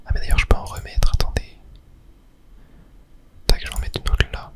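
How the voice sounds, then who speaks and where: Whispering ASMR gamer, fully unvoiced. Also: moderate pace. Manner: casual, thinking aloud while playing. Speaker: video game streamer and ASMR host. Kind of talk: whispered ASMR gaming commentary.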